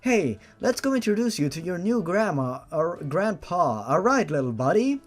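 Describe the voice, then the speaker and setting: A man voicing a cheerful, affectionate young mother with a soft, girlish manner in a story. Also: high voice, sing-song, normal speed, faint music behind. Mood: motherly, cheerful.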